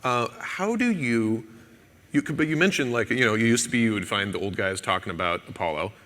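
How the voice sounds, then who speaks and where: Bright-voiced male interviewer in a hall. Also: medium pitch, expressive. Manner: halting, self-correcting questions. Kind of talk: on-stage conference interview. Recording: long hall reverb.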